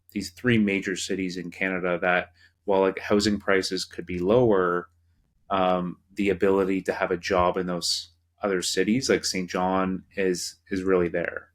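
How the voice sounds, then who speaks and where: Low-voiced casual podcast host, a man. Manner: casual, questioning. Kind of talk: podcast interview.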